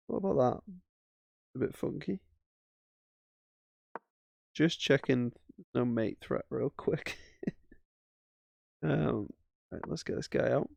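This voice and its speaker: A man's voice: mid-range voice, animated, slow pace, gravelly, long pauses, a little mellow, no echo. A man casually commentating his own chess games as he plays, thinking aloud with self-mocking asides. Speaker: chess streamer.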